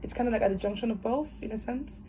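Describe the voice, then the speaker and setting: A woman's voice: on the high side, fast talker, rough recording. Cheerful woman in her 20s, laughing storyteller, webcam call from home, slight room hiss. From Cameroon. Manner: cheerful, laughs often, animated hands. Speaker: interviewee, student nurse. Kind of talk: casual personal story. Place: small room at home, indoors. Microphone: webcam on a video call.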